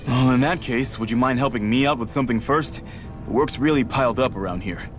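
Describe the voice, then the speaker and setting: A man's voice: medium pitch, fairly quick, music in the background, lightly acted. An actor playing an overworked, practical, kindly male organiser of a slave camp in a video game. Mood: busy, earnest.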